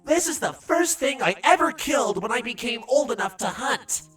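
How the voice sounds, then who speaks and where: A woman's voice: a little high, lively intonation, moderate pace, fairly bright tone, far from the mic, faint music behind. A woman voicing a proud, innocent young changeling schoolboy in an audio drama. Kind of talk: fan audio drama acting.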